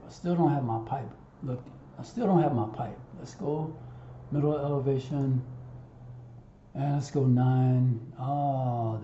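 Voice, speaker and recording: A man giving an unscripted software tutorial over a screen recording, haltingly thinking aloud and talking to himself as he works. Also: medium pitch. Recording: roomy, muffled, audible background noise.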